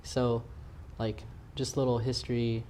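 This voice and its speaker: Earnest young man guest, mid-pitched. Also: little pitch movement, a little mellow, slight background noise. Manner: earnest, halting with fillers and restarts. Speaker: community organization guest. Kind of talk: casual interview chat.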